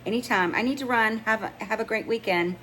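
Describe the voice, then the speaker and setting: A woman's voice: a little high, fairly quick, a bit bright, pitched above the performer's own voice, close to an everyday voice, low-quality recording. A woman voicing a composed, friendly professional heroine in a romance audiobook, casual and polite. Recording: noticeable background noise.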